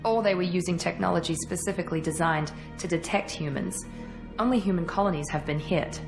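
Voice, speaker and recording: A wary, no-nonsense female operative, acted by a woman with a lowish voice. She is matter-of-fact and clipped, in video game cutscene style, over clearly audible music. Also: fairly quick, lightly acted. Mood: analytical. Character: tough, analytical, wary female military operative. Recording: music under the voice.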